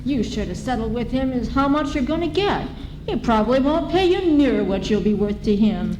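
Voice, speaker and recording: A woman's voice: a little high, lively intonation, moderate pace, highs cut off, lightly acted. A woman playing a fretting, practical farm wife in an old-time radio serial. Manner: homely, concerned exclamations. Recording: roomy ballroom, faint noise.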